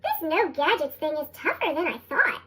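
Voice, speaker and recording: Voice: sits high, sing-song, normal speed, bright, forceful tone, full character voice. A narrator voicing an earnest young boy camper from a storybook, through a sped-up chipmunk effect. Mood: earnest, reluctant.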